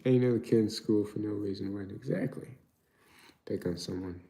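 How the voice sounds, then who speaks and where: A young man from Turkey chatting on a live stream. He sounds low and relaxed, with a gravelly edge. He reads out viewer questions and drifts casually through each answer. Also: brisk.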